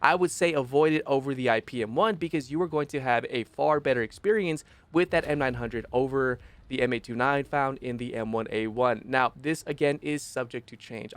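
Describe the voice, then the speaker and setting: A young man with a phlegmy, rough voice commenting casually on a game, rambling and correcting himself as he gives his opinions. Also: medium pitch, no echo. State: phlegmy, rough voice after illness. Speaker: gaming video host.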